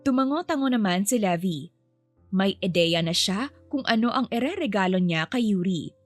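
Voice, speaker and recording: A woman's voice: medium pitch, expressive, moderate pace, no room sound. A woman storyteller reading scripted fiction narration. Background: music.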